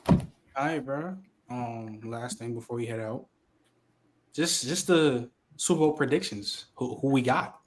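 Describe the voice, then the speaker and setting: A man's voice: mid-range voice, a little slow, rough recording. A man hosting a sports podcast in a laid-back way, asking the panel for predictions. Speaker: podcast host. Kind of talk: casual show hosting.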